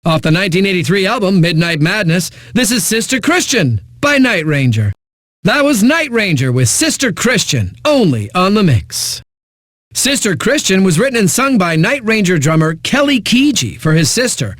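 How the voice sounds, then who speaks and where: An actor playing a cocky, flippant eighties-hits radio DJ in a video game, with smug, punchy announcing and dramatic pauses. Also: male voice, high-pitched, sing-song, a bit bright, dry recording, studio quality, full character voice. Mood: playful, hyped. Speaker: radio DJ, host. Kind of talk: 1980s music radio DJ patter.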